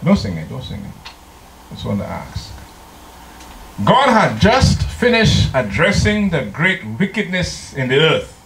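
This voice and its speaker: Slow-preaching older pastor from Trinidad. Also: male voice, fairly high pitch, huge pitch swings, creaky, fairly bright tone. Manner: slow, deliberate preaching that breaks into sung hymn lines. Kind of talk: sermon. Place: church sanctuary. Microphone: handheld microphone. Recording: echoey church room.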